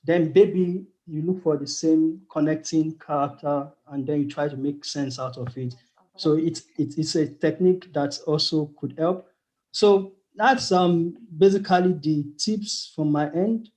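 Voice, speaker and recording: A man's voice: on the high side, unhurried, darkish tone, poor audio. Man giving tips on a video call. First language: not German. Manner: hesitant, many fillers and restarts. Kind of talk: casual online talk.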